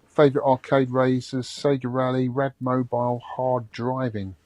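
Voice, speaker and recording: A British man co-hosts a podcast in a relaxed, easygoing way, giving shout-outs and reading listener comments. His voice sits in a middle register and sounds dry and close, with no room echo. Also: mid-range voice, a little slow. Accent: British English. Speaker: podcast co-host.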